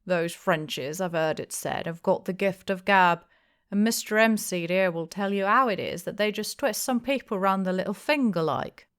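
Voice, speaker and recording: A woman voicing a blustering, jovial, opinionated male innkeeper in an audiobook, with loud, emphatic, rustic speech. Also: mid-range voice, moderate pace, smooth, close to an everyday voice.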